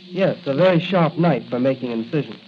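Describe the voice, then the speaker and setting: A man's voice: medium pitch, animated, fairly quick, natural voice. Guy acting a jittery intern protesting his innocence, old radio play, dull sound with some hiss. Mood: nervous, defensive. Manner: hesitant, flustered, protesting. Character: nervous, defensive male hospital intern. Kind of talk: 1940s radio drama acting. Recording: dull, hissy 1940s radio recording.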